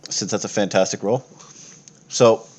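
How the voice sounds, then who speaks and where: A man with a fairly low voice runs a tabletop roleplaying game. He narrates casually and makes it up as he goes, pausing and rephrasing his explanations. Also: fairly even intonation. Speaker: game master.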